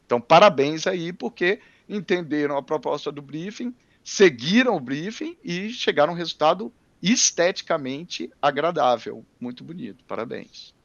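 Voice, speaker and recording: A man's voice: fairly high pitch, animated, normal speed, vocal fry, hardly any pauses, dry recording. Muffled man praising student work. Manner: warm praise, repeats words of approval. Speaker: architecture professor. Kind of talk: giving feedback on student projects.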